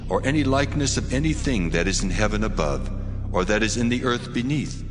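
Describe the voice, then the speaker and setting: An actor playing a stern, commanding God who gives the law in solemn, declamatory tones, in a dramatized scripture reading. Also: male voice, on the low side, lively intonation, fairly quick, natural voice. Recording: long cavernous reverb, music underneath. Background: music.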